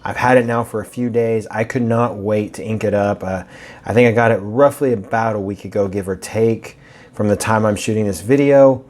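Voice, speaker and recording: A man giving a casual, rambling review of a fountain pen, his voice a bit raspy from allergies. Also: on the low side, normal speed, a little mellow. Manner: chatty, rambling, with fillers and restarts.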